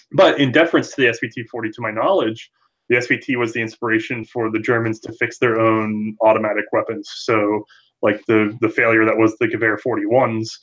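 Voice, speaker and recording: A man's voice: fairly low pitch, fairly bright tone, dull audio. A man giving conversational expert explanations as an interview guest, thinking aloud in long runs. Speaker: podcast guest, firearms history presenter.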